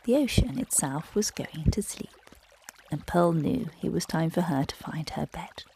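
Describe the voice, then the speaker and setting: A woman guiding children through a relaxation and bedtime story in a gentle, soothing, breathy voice that often drops close to a whisper. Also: deep voice, animated, unhurried, slight background noise. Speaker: meditation guide and bedtime storyteller.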